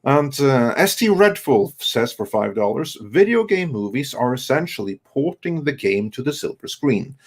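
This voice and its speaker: Halting man co-hosting a live stream. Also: medium pitch, very animated, breathy edge. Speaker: co-host reading viewer messages. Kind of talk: live stream panel chat.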